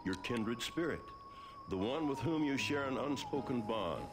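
A man playing a wise, mystical coyote spirit guide in a game cutscene, making solemn, measured pronouncements. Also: medium pitch, animated, music in the background, lightly acted. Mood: solemn, cryptic. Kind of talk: animated video game cutscene acting.

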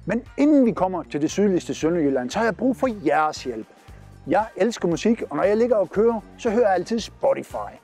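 A cheerful, chatty man in his forties with a Southern Jutland Danish accent talks straight to camera. His voice sits fairly high for a man. He is recorded on a lapel mic outdoors, with faint music underneath. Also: big pitch swings, darkish tone. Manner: upbeat, chatty, pointing while talking. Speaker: trucker vlog host. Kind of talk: casual vlog narration to camera. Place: outdoors by parked trucks.

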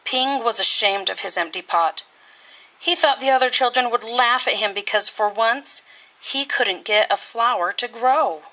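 Woman, expressive children's read-aloud, slow pace, fairly high pitch, dramatic phrasing. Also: poor audio. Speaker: teacher reading a picture book.

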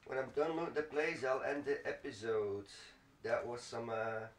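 A man's voice: medium pitch, normal speed. A man giving casual let's play commentary, muttering to himself and swearing mildly. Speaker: gaming video host. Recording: roomy, distant mic, clearly noisy with clicks.

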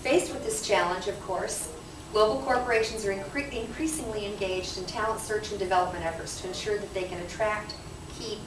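A woman's voice: medium pitch, a little fast. Echoey, distant woman presenting at a conference. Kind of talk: prepared presentation to a live audience. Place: conference session room. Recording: echoey noisy room, distant microphone.

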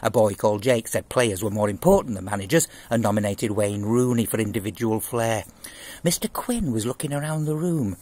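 A man in his sixties with a husky voice, reading a children's novel aloud in an expressive storytelling style, outdoors. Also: on the low side, a little fast. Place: outdoors in a garden. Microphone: phone at arm's length.

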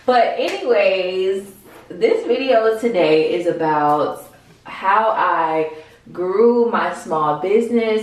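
A woman in her twenties with an American accent, giving casual, frank, slangy advice to camera in a vlog. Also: fairly low pitch. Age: 20s. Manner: informal, slangy, frank, sprinkled with swearing. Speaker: vlogger, small business owner. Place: bathroom, indoors. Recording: very echoey small tiled room.

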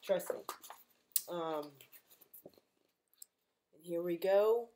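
A middle-aged woman reading a picture book aloud to young children, gentle and expressive, as a librarian at home. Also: fairly low pitch, extremely slow, a little hiss or hum. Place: living room at home, indoors.

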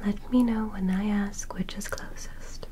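A woman voicing a gentle, curious alien medical examiner in an ASMR roleplay, in a soft, breathy whisper with deliberate short instructions. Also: mid-range voice, fairly even intonation, lightly acted. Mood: soothing, clinical. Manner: slow, deliberate, short instructions with simple praise. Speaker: ASMR performer playing an examiner.

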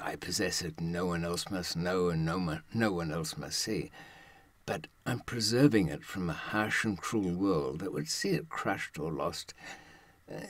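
A man in his seventies giving a reflective monologue, musing haltingly and posing rhetorical questions in a husky voice. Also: deep voice, dry recording. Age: 70s. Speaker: presenter.

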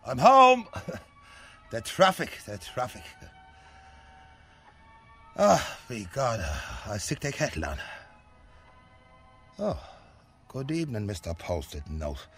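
An actor playing a devoted, bashful working man who lives with his mother, halting and self-deprecating, with an Irish accent, in a radio play. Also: on the low side, huge pitch swings, a bit bright, husky, faint music behind, full character voice. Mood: homely, tender. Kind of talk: radio play acting, naturalistic dialogue.

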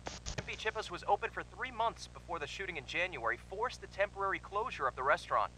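A man playing a grave 1980s television news reporter, reading a scripted bulletin in a formal, measured way. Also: sits high, expressive, noisy background.

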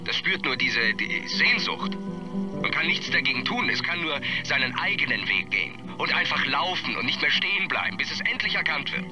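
An actor playing an earnest, wistful film director in a radio play interview, speaking haltingly and repeating his phrases. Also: on the high side, very animated, loud music behind the voice, rough recording. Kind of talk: acted interview in a radio drama.

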